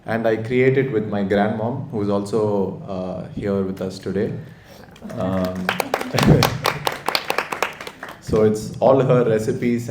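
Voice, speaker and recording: A man's voice: fairly low pitch, warm tone, a little hiss or hum. A young man introducing himself on a panel, talking with many hesitant fillers. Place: panel event in a hall. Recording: echoey hall, faint ambience.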